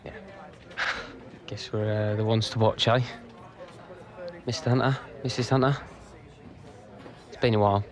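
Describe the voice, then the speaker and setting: An actor playing a cocky, blunt young English footballer in a naturalistic game cutscene. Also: male voice, lowish voice, steady tone, a little slow, long pauses, close to an everyday voice. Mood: wry. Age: young adult.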